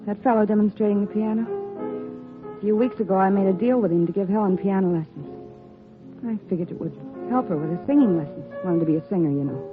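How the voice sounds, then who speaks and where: An actress plays a plain-spoken, hesitant saleswoman in a 1950s radio drama. The sound is thin and tinny, with clear piano music behind her guarded voice. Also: mid-range voice, quick pace, lightly acted. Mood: wistful. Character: hesitant, reluctant piano saleswoman, friend of victim.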